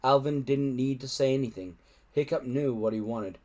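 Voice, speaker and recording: A man narrating a children's adventure story aloud in an expressive storytelling style. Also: medium pitch, normal speed. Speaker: storybook reader.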